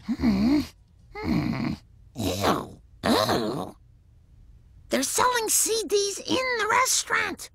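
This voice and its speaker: A gravelly, raspy cartoon mother, fretful and fussy with a high voice. Her pitch swings widely, and she speaks in a slow, bright drawl. The sound is dry and close. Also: huge pitch swings, no room sound, exaggerated voice. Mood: worried. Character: anxious cautious cartoon mom, suddenly adventurous foodie. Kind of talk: animated sitcom voice acting.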